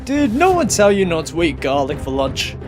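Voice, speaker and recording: An earnest young superhero acted by a man from an audio drama. The voice is on the high side and emotional, with music underneath. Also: wildly swooping pitch, normal speed. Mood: cocky. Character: young male superhero, quippy, earnest, guilt-ridden. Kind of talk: audio drama hero acting.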